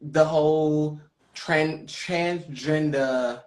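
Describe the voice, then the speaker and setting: A man's voice: a little high, fairly even intonation, drawn-out words, a little mellow. Podcast host guy, careful and hesitant, room sounds kinda echoey. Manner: hesitant, guarded. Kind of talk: casual chat.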